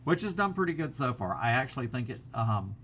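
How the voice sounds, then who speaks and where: A man's voice: medium pitch, big pitch swings, a little fast, a bit bright, slight background noise. A middle-aged man, chatting casually to his camera at home, rambling through fillers and restarts. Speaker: vlogger. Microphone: built-in laptop camera mic.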